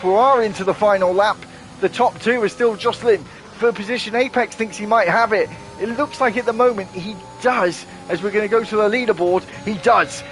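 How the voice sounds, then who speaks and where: Guy doing live sim race commentary, kinda high voice, gets hyped and keeps correcting himself. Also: poor audio. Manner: excitable, rapid play-by-play, self-correcting.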